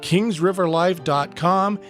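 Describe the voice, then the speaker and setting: A man's voice: high voice, slow, fairly bright tone. A man reading a scripted podcast outro as announcer. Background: guitar music.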